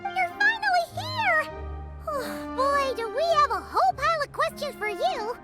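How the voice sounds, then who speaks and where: A woman voicing a tiny, excitable floating girl companion in a game, bubbly and eager. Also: very high-pitched, unhurried, exaggerated voice. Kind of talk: game cutscene voice acting. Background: background music.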